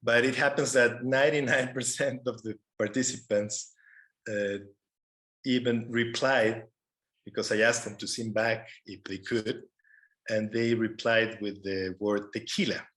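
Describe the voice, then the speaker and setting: A man from Mexico with a Mexican Spanish accent giving an informal talk as a panelist, halting as he searches for words. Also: mid-range voice, level tone, a bit bright, rough recording. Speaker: panelist, conservation photographer. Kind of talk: informal presentation to an online audience.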